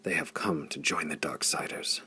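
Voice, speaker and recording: A man voicing a defiant, grim swordsman hero with a rasp and bitter humor in a pulp adventure audiobook. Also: rumbling low, normal speed, bright, forceful tone, no echo, heavily acted. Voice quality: rasping. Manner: mirthless, scornful, declaiming.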